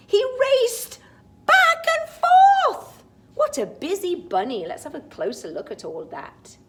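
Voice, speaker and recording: A woman storytime teacher reading a picture book aloud to young children, expressive and sing-song, with chatty asides. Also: sits high, a little hiss or hum.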